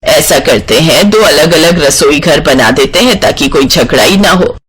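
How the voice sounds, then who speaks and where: A man voicing an elderly village mother-in-law who is weary, scheming and given to complaint, in an animated moral tale. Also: a little high, a little slow, slight background noise, voice pushed down. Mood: weary, instructing. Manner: melodramatic, lamenting. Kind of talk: Hindi animated moral-story acting.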